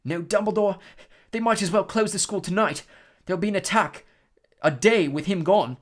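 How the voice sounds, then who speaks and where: A man voicing a worried schoolboy in a storybook, sounding hoarse and anxious. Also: high-pitched, moderate pace, voice pushed up.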